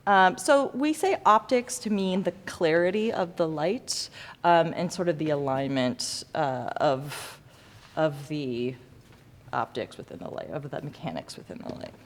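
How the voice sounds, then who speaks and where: Female instructor, slightly low voice, informal demo with joking asides, reverberant hall with background noise. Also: a little slow. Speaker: lighting design teacher. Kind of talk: informal hands-on demonstration to students.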